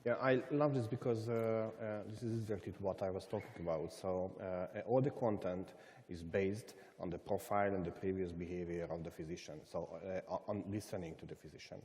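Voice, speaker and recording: A man's voice: deep voice, brisk, a little mellow. A man on a conference panel, speaking hesitantly with frequent filler sounds and non-native phrasing. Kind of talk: panel discussion. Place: conference hall stage. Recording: hall reverb from conference stage.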